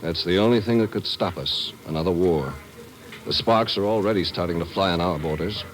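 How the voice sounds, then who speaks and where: Male actor, very low voice, earnest airline partner, hopeful and hesitant, 1950s radio drama, faint recording noise. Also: brisk, close to an everyday voice, poor audio. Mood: grave, worried. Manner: earnest, heartfelt, hesitant at times. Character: earnest Israeli airline part-owner, male, hopeful former refugee.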